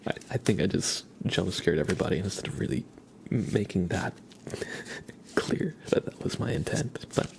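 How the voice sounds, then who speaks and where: A man hosting an ASMR livestream, talking softly in a gentle, unhurried, chatty way to his viewers. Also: deep voice, very animated, creaky, slight background noise. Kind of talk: ASMR, soft whispered live chat and reading.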